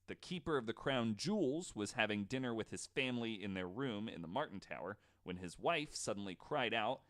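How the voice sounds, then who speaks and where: A man with an average-pitched voice hosts a podcast in a relaxed, conversational style. He tells stories informally and drops in wry, joking asides as he goes. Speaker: podcast host. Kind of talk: casual storytelling with jokes.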